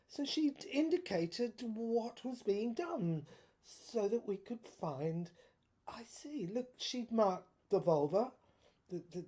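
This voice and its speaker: A woman playing a haunted, bewildered officer in a dramatic monologue, stammering through visions in halting fragments and drifting into breathy whispers. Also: medium pitch, mellow. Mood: halting, musing. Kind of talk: dramatic first-person monologue.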